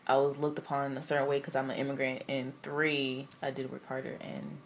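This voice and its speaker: Haitian woman in her twenties, low flat voice, candid and reflective, faint room noise. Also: brisk. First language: French. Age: 20s. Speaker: interviewee, immigrant student. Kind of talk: interview answers.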